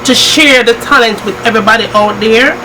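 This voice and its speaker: A woman's voice: on the high side, fast, music in the background, low-quality recording. A middle-aged woman from Jamaica with a Jamaican accent, chatting casually with her daughter on her vlog, laughing often and slipping into patois. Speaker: cooking channel host. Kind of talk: casual chat.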